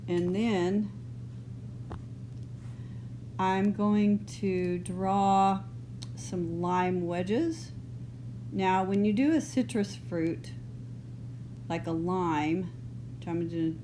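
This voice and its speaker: A middle-aged woman from Texas with a mild, partly northern Texas accent, teaching a drawing lesson in a relaxed, chatty way as she works. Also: medium pitch. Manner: relaxed, unhurried, loose and chatty. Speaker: art teacher. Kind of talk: drawing lesson, thinking aloud. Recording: noisy home recording.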